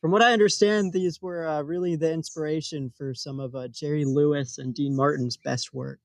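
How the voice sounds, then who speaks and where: A man co-hosting a podcast in casual comedic chat, speaking in a hesitant, deadpan way. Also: fairly high pitch, moderate pace, no echo. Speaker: podcast co-host.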